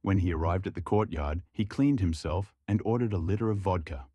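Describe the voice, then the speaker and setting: Low-voiced man narrating a dark tale. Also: animated, brisk, husky, dry recording. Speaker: storyteller, narrator. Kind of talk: scripted narration of a folk horror tale.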